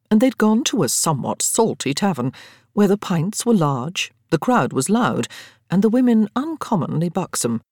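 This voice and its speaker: A woman with an English accent reads literary narration for an audiobook in a measured, wry storytelling manner. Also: sits low, a little mellow, no echo, clean studio sound.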